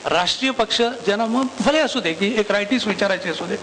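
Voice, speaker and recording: A high-pitched male voice lays out an argument at a live panel. He explains step by step, stacking up examples, with ambient noise around him. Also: animated, fast talker, fairly bright tone. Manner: explanatory, lists examples one by one. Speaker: political panelist. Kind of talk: panel speech, arguing a case. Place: live public panel event.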